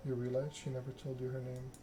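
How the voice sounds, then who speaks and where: Male ASMR game reader, measured and hushed, very even intonation, noticeably noisy recording. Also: mid-range voice. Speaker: video game player reading the story aloud. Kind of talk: ASMR game read-along, narration with dialogue.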